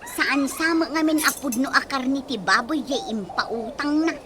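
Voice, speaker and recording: A woman's voice: high-pitched, moderate pace. A woman in an Ilocano radio comedy drama, playing someone fretting over new dentures. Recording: noisy background hiss.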